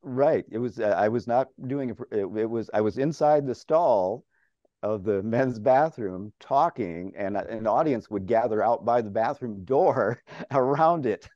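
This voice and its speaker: An older man with a strong, mellow, fairly low voice tells stories with lively, chatty energy and frequent laughter. He talks from a home office over a video call. The sound is dry and a little dull. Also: a little slow, dry recording. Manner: chatty, animated, laughs often. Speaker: interview guest, radio farm broadcaster. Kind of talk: casual storytelling.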